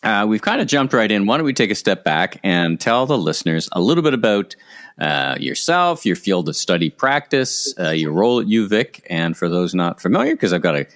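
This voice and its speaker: A man's voice: fairly low pitch, big pitch swings, brisk, a bit bright. A middle-aged man, hosting and interviewing on a podcast. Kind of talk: scripted podcast intro and conversational interviewing.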